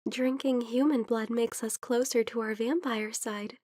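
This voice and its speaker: A woman playing a caring young female vampire who is a little sick, in an intimate, gentle, hesitant ASMR roleplay that slips into whispers. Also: on the high side, steady tone, measured pace, no echo, clean studio sound, natural voice. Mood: explaining, subdued. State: slightly under the weather.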